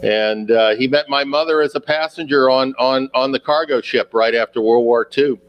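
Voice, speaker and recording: A man, speaking at a middle pitch. He answers questions casually and haltingly, full of fillers and restarts. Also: muffled recording, a little hiss or hum. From United States. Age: 60s. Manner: halting, many fillers and repeated words. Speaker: guest sailor.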